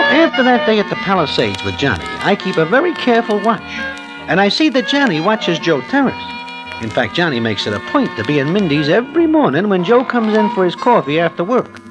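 Animated New York street-smart storyteller. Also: male voice, high voice, rough recording. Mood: confiding narration. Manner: formal slangy present-tense speech without contractions. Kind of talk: 1950s radio acting, scripted narration. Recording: old radio recording with music behind.

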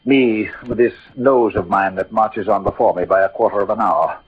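A man's voice: fairly low pitch, moderate pace, breathy edge. An actor playing a proud, poetic, melancholy swordsman in a declamatory 1940s radio drama style. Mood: wistful. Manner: grandiloquent, declamatory, savouring verse. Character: Cyrano, swaggering poetic swordsman, proud, melancholy about his ugliness. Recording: muffled old radio recording, music behind.

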